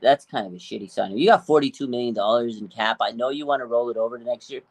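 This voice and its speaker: A man's voice: medium pitch, quick pace, no room sound. A man in his late thirties co-hosting a casual sports chat, opinionated and slangy with some swearing. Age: late 30s. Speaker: sports show co-host.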